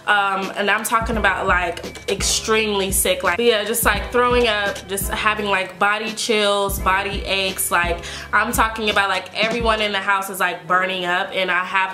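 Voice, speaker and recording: A woman's voice: mid-range voice, bright, forceful tone. A woman beauty vlogger with a stuffy, congested voice from a cold, chatting casually to viewers and filling her talk with "like" and "um". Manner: chatty, filler-heavy, addresses viewers as "you guys". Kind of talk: casual wig review chat. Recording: echoey room with background music.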